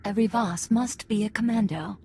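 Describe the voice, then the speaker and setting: A woman voicing a formal young alien daughter in a video game, with a husky voice. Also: medium pitch, a little fast, dark tone. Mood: earnest, curious. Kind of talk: video game cutscene acting.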